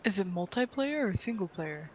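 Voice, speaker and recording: A man's voice: high voice, animated, moderate pace, slight background noise, rough recording. A man chatting casually as a co-commentator on a game, asking curious questions. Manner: inquisitive. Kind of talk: casual chat.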